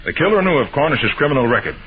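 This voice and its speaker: A man playing a confident, sharp-minded master detective in an old-time radio mystery, explaining and questioning in an assured, methodical way. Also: mid-range voice, very animated, racing, lightly acted. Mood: probing, cool. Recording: dull, noisy old radio broadcast recording.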